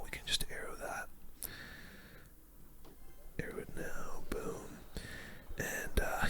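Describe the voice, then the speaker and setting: A man's voice: very high-pitched, pushed and bright. A young man whispering casual, slangy ASMR commentary as he plays a video game, close to the mic. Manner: slangy, casual, reacting to play. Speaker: gaming video host.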